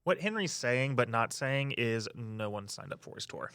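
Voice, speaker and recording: A sardonic, teasing male tour guide in an audio drama. His intonation is very lively and the sound is dry and close. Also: mid-range voice, moderate pace, clear, resonant tone, no echo.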